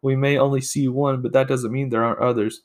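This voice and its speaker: A man's voice: mid-range voice, little pitch movement, fast talker, close to an everyday voice. A man voicing a cautious young male dragon who pleads urgently with his brother. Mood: worried.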